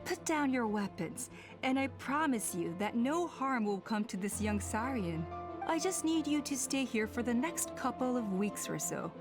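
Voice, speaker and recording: A woman playing a calculating clan leader and villain in a video game, measured, condescending and commanding, with music behind her. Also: a little high, animated, lightly acted. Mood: smug, persuasive. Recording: game dialogue over background music.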